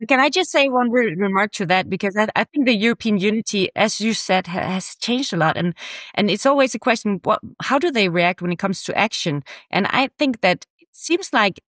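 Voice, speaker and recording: A woman's voice: mid-range voice, expressive, a little fast, fairly bright tone, dry recording. Earnest woman panelist, dry close sound. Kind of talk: conversational political commentary.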